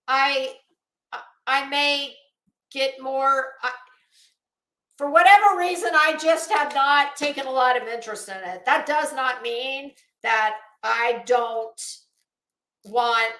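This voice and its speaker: High voiced lady ranting on a livestream, slow and emphatic, super blunt, kinda echoey room. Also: bright, forceful tone. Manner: blunt, scornful, emphatic, with deliberate repetition. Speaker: livestream host. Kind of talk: opinionated livestream commentary to viewers.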